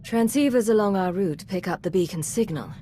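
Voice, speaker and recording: A woman's voice: mid-range voice, animated, fairly quick, mellow, a little hiss or hum, lightly acted. An actress playing a cool, businesslike female intelligence agent and commander in a video game cutscene.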